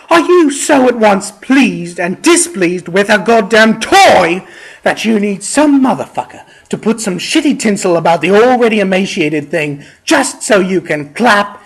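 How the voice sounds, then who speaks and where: A man rants from a script with theatrical scorn and plenty of profanity. His voice sits high for a man and moves through big swings in pitch. He goes slowly, stretching out words for exasperated emphasis. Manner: exasperated, emphatic, drawn-out delivery. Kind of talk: profane comedic rant read from a script.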